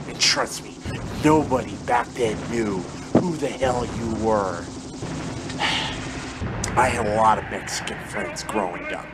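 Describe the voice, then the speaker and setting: A man delivering a scripted trash-talk wrestling promo, swinging between loud shouted taunts and low muttering, recorded close on a webcam indoors. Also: low-quality recording. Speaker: hobby wrestler in character. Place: indoor room with window blinds. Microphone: webcam close to face. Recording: music clearly playing behind.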